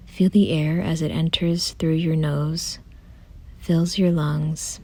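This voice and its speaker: A woman softly guiding a meditation in a gentle, calm, unhurried way, often close to a whisper. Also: deep voice. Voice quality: soft, breathy, often near a whisper. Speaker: meditation guide. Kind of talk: soothing guided meditation, scripted.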